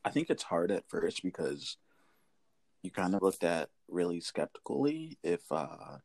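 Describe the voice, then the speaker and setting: A man's voice: low voice, very animated, unhurried, vocal fry, a little mellow, no echo. A man in his late twenties hosting a casual interview, asking friendly questions and agreeing often. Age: 20s. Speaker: interview host. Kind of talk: casual video chat interview.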